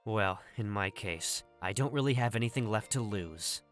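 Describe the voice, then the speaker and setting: A man's voice: fairly low pitch, expressive, moderate pace, fairly bright tone. Guy doing a cocky young furry warrior out for revenge, voice moves around a lot, music behind. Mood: defiant. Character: vengeful, confident young male furry apprentice warrior. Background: game music.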